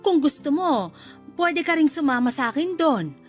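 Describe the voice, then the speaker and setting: An actress playing a brisk, chatty middle-aged mother-in-law with a Filipino accent in a radio drama. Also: sits high, sing-song, soft background music. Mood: breezy, friendly.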